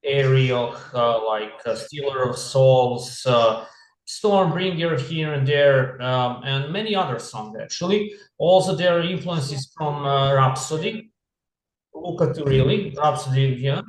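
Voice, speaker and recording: A man from Serbia, answering interview questions in English over a video call, halting and full of "you know". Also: mid-range voice, roomy sound, low-quality recording. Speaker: band member.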